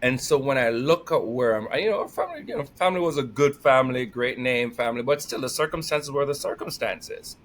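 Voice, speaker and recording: A man with a Jamaican accent, a guest telling lively stories in conversation and laughing often. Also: medium pitch, a little fast, hardly any pauses. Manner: energetic, animated, laughs often. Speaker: podcast guest. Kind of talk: storytelling conversation.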